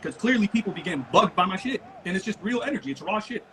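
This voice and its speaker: A man's voice: high-pitched, expressive, fast, fairly bright tone, a little hiss or hum. A man who grew up in Lebanon, talking in a blunt, profane, rambling way in a heated casual chat.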